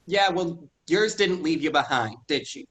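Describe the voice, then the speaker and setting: A man's voice: on the high side, expressive, a little slow, a bit bright. An actor reading a stubborn rural young man, curt and defensive in a staged play reading.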